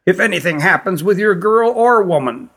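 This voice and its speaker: A man's voice: high voice, lively intonation, fairly bright tone, breathy edge, pitched above the performer's own voice, full character voice. Man voicing a stern, reedy old fantasy queen. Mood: formal, grave. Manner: formal, deliberate phrasing. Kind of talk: fantasy audiobook character voice.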